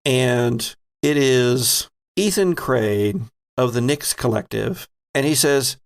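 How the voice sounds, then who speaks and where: A man narrates a tabletop game as its game master, with noticeable vocal fry. His pitch sits in the middle, and he moves between improvised storytelling and playful banter. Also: slow. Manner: playful, conversational.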